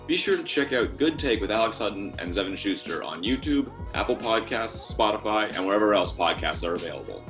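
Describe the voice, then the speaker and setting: A man hosts a podcast in a casual style. He speaks quickly in a fairly low voice, with faint music around. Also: lively intonation, fairly bright tone. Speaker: podcast co-host.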